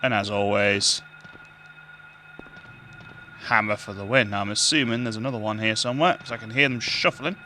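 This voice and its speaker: A man with a very deep, bassy voice, hosting casual let's-play commentary on a gaming headset mic, chatty and self-deprecating. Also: fast, noisy background. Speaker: gaming channel host. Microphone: gaming headset with adjustable boom mic. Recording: game music playing under voice. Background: game music and sounds.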